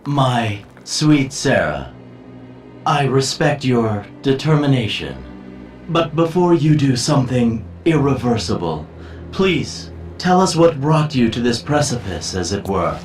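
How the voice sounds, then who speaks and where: An actor playing a smooth, eloquent schemer with an insinuating, theatrical manner in a video game cutscene. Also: male voice, medium pitch, sing-song, slow. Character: smooth, theatrical, scheming male manipulator counseling heroes. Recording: roomy echo, distant, music behind.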